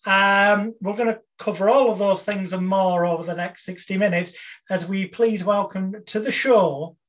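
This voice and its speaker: A man hosting an interview show, introducing it in an announcer-like way with a drawn-out build-up. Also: sits high, fairly even intonation. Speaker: host of a hypnosis interview show.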